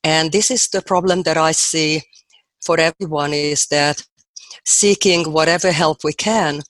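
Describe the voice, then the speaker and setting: A woman's voice: deep voice, fairly even intonation, unhurried, fairly bright tone, dry recording, poor audio. An older woman from Hungary with a Hungarian accent, a guest dentist telling her story in an earnest, reflective way in a podcast interview.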